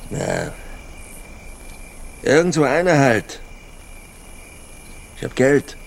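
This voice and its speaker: A pushy, gravelly country lad. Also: male voice, medium pitch, huge pitch swings, very slow, exaggerated voice. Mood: eager, cajoling. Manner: colloquial, cajoling, insistent. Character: pushy rural youth clumsily courting, boasting of his money. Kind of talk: radio drama dialogue. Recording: outdoor night ambience with crickets. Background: crickets, night insects.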